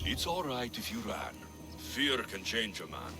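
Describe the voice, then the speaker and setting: A man's voice: fairly low pitch, sing-song, unhurried, creaky, over music, full character voice. A man playing a blunt, resolute mercenary hero in a video game, firm and questioning. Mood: probing.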